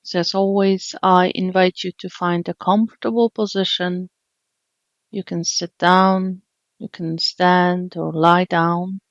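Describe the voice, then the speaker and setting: A woman leading an unscripted guided body scan meditation, speaking gently and soothingly with long pauses. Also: lowish voice, little pitch movement, no echo, dull audio. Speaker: meditation teacher.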